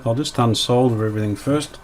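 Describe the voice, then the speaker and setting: A man giving casual hands-on restoration commentary, thinking aloud in a tentative, unhurried way. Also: medium pitch, slight background noise. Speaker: radio restorer presenting a repair series.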